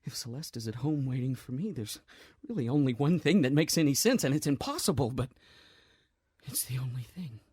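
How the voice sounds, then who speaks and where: Husky, grieving man, played by an actor. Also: medium pitch, lively intonation, dry recording, clean studio sound. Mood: grieving, gentle. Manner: halting, hushed, earnest. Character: grieving, guilt-ridden man gently explaining the impossible. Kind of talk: audio drama acting.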